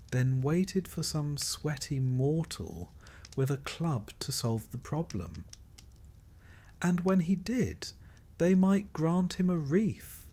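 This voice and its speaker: A man reading a scripted sleep story as narrator, calm and measured, with dry wry asides. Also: lowish voice.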